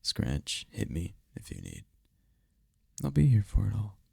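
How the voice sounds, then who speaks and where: A man playing a tender, teasing incubus demon boyfriend in an intimate ASMR roleplay, speaking in a breathy, soothing, soft-spoken way. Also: huge pitch swings, unhurried, dry recording, studio quality, exaggerated voice. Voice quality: breathy, gravelly low voice.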